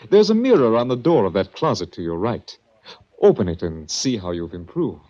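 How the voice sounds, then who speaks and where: A smug radio-drama villain, courteous on the surface and menacing underneath. His low voice glides widely as he taunts, on a muffled old recording. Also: sing-song, normal speed, mellow. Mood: smooth menace. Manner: smooth, mock-courteous, taunting. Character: smug, coolly menacing male villain running a sanitarium. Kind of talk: 1940s radio drama acting.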